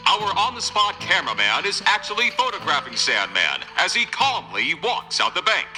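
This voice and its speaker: A man's voice: high-pitched, wildly swooping pitch, moderate pace. A man voicing a dramatic television news broadcaster in a comic book dub, in announcer style with long reverb. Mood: urgent, dramatic. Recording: long reverb, music behind.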